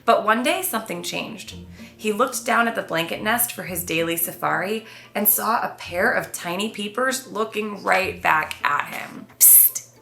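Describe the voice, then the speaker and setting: A woman, a children's author, reading and presenting her own storybook in an expressive read-aloud style. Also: medium pitch, normal speed, creaky. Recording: roomy echo, faint music behind.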